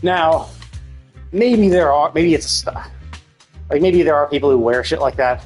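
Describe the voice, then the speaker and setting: Male streamer, somewhat high register, informal and sweary, talking through game opinions at length over faint music. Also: moderate pace. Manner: profane, informal; rambling, explanatory, opinionated. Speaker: live streamer. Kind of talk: casual game stream commentary.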